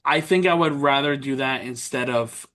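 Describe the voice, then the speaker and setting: A man's voice: medium pitch, moderate pace, a bit bright. A man hosting a casual interview, talking in long, rambling turns and often restarting his questions. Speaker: podcast host.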